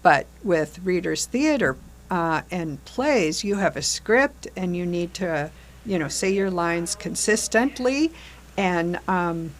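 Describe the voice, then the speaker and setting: An older woman talking about storytelling and theater as an interview guest, speaking haltingly with many pauses and restarts. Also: lowish voice, expressive. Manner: hesitant, many fillers and restarts. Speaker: guest, theater group facilitator. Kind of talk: casual interview chat.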